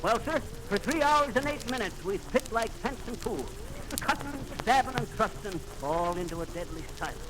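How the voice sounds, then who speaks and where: A high-pitched American boastful teller of tall tales in a 1930s radio comedy. His folksy delivery swoops theatrically through every brag, over a noisy vintage recording. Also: lively intonation, normal speed. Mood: dramatic, swaggering. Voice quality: folksy, colloquial diction. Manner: bragging, theatrical storytelling.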